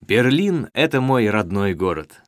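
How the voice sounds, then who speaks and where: A young man playing a polite student in a scripted textbook dialogue, reading slowly and clearly. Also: mid-range voice, sing-song, no echo, clean studio sound, natural voice. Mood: friendly. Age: young adult. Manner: slow, carefully clear reading. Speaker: dialogue actor in a listening test.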